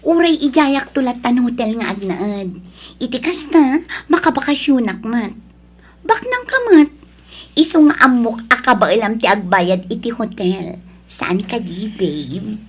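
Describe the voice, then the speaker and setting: A woman acting a high-voiced, coy young mistress. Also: lively intonation, measured pace, breathy edge. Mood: coy, teasing. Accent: Ilocano. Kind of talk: melodramatic radio drama acting.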